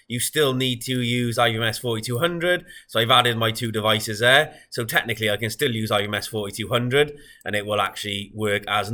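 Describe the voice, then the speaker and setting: A man's voice: mid-range voice, bright, forceful tone. A man demonstrating a product in an informal, explanatory, matter-of-fact way.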